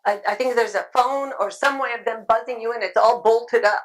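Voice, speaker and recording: A middle-aged woman speaking at a convention, chatty and loud-voiced, laughing often as she answers questions. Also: on the high side, fairly quick. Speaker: convention speaker on sidewalk counseling. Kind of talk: informal talk with audience questions. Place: convention room.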